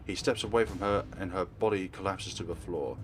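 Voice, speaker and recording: A man's voice: low-pitched, steady tone, a little fast, noisy background. A man reading a visual novel aloud in a dramatic narrating style as a let's play host. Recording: music playing behind. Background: game music.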